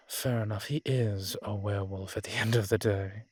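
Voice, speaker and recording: A man's voice: lowish voice, steady tone, moderate pace, a little mellow, slightly rough, no room sound. A man playing an ancient, proud and world-weary male vampire in an audio roleplay, talking in a wry, haughty way with old-fashioned fancy words. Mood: scornful, doubtful. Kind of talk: audio roleplay drama, one-sided dialogue.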